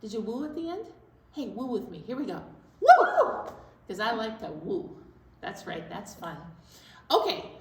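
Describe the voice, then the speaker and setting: A woman presenting a children's storytime in a playful, animated way, moving between chatty talk and sing-along. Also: a little high, a little slow, pauses often. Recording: very echoey room, distant mic, faint noise.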